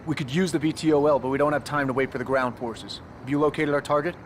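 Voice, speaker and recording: An actor playing a decisive special forces commander in a video game, terse and commanding. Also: male voice, a little high, steady tone, fast, lightly acted. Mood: urgent, decisive. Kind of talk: video game cutscene acting. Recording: loud vehicle and outdoor noise.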